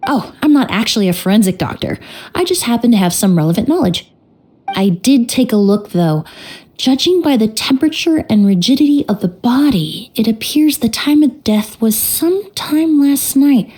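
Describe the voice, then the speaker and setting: A woman voicing a calm, composed young investigator in a video game, speaking in a measured, gentle way. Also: on the high side, breathy edge. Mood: analytical.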